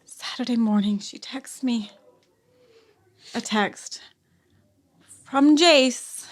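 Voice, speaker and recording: Soft, halting woman with frequent sighs. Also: on the high side. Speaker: podcast guest. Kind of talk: personal storytelling in conversation.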